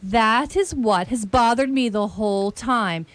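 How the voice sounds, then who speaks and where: Lady acting haughty excitable detective, highish voice, talks slow, radio play, very dry sound. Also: no echo, lightly acted. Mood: smug admiration. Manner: theatrical, imperious.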